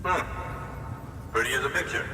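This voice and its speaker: An actor playing a male engineer who talks in a low-key way, half to himself, in a game cutscene. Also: slow, lightly acted. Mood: pleased. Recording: distant echoey hall with loud noise.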